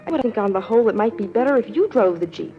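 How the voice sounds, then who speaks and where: A woman's voice: a little high, lively intonation, quick pace, natural voice. An actress playing a naive, trusting young woman, innocent and questioning, in a vintage radio drama. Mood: coy. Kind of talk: 1950s radio drama acting. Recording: muffled, noisy vintage radio recording.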